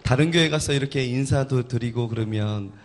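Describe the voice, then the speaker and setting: A man's voice: medium pitch, moderate pace. A male pastor leading a church service, joking with the congregation and coaxing them to greet each other and answer back. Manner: energetic, coaxing crowd responses.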